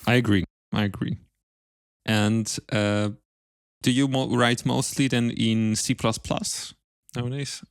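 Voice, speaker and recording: A man hosting a podcast interview, asking questions in a polite, measured, conversational way. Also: medium pitch, fairly even intonation, clean tone, dry recording, clean studio sound. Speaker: podcast host.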